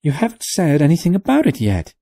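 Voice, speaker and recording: A man's voice: a little high, huge pitch swings, fairly quick, vocal fry, mellow, no echo, studio quality, voice pushed up, heavily acted. A man voicing an earnest, well-mannered young woman of about nineteen.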